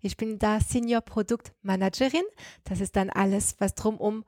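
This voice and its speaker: A woman from France speaks German with a French accent at a middle pitch. She explains things patiently, with slightly non-native grammar. The recording is dry and close, with no room sound. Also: fairly even intonation, normal speed, warm tone, no room sound. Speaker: product manager guest. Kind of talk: podcast conversation.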